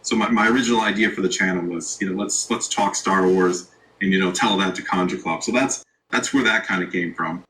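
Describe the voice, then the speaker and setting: A man's voice: sits low, fairly quick, a little hiss or hum, low-quality recording. A man who makes online videos, guest in a casual interview, talking loosely with many restarts. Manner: relaxed, rambling, many restarts. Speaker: YouTube creator, guest.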